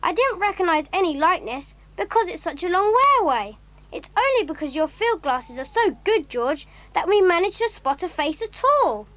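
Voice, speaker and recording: A woman's voice: extremely high pitch, big pitch swings, moderate pace, a bit bright, no echo, full character voice. A woman playing a plucky, earnest young schoolboy adventurer in a children's audio drama. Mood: earnest, puzzled.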